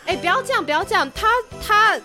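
A woman with a Taiwanese Mandarin accent, co-hosting a casual podcast in a playful, exclamatory way and quick to laugh. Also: extremely high pitch, animated, bright, forceful tone, soft background music. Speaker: podcast co-host. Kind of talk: casual banter.

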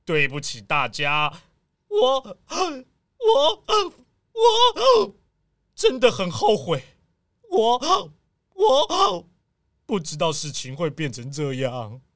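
A guilty village auntie voiced by a woman stammers and wails her confession in a high, swinging voice. She talks slowly and tearfully, like a character in a children's audio drama. The sound is close and dry. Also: huge pitch swings, no room sound, full character voice. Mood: remorseful. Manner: wailing, stammering, tearful pleading. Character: remorseful village woman Aunt Heigu, arsonist caught out.

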